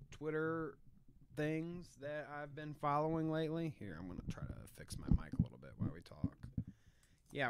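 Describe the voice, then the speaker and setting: Chill podcast dude, bouncy animated voice, cusses a lot, laughs easily. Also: male voice, medium pitch, slight background noise. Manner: laughing, swearing, easygoing. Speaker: podcast co-host. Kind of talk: casual podcast chat.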